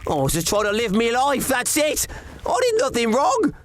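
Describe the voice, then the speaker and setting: A man voicing a brash, remorseless killer in a cartoon, exaggerated and excitable. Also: very high voice, moderate pace, full character voice. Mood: defiant. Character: brash, remorseless male killer, later begging victim.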